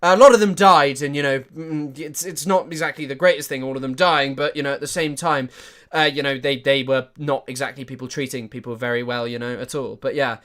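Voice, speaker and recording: A man giving chatty live-stream commentary, animated and full of fillers and restarts. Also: medium pitch, brisk.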